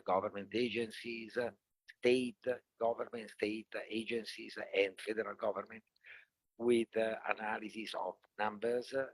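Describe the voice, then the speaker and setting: An older man from Italy with an Italian accent, speaking casually as a panelist in a halting, jokey manner with many pauses. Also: on the low side, no echo, poor audio. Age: 60s or older. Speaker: panelist, property entrepreneur. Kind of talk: casual self-introduction to a live audience.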